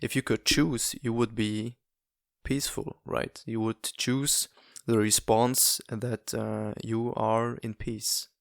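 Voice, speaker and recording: Halting Swiss man, low gravelly voice. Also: little pitch movement, dry recording, studio quality. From Switzerland. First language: not English. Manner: halting, many fillers and restarts. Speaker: podcast host. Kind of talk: casual solo monologue.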